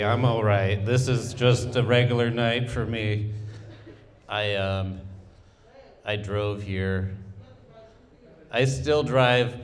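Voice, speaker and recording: Slow, halting, awkward male stand-up. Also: medium pitch. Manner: halting, awkward, rambling, deadpan. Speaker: stand-up comedian. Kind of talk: absurdist stand-up at an open mic. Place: small comedy club stage, indoors. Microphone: handheld microphone.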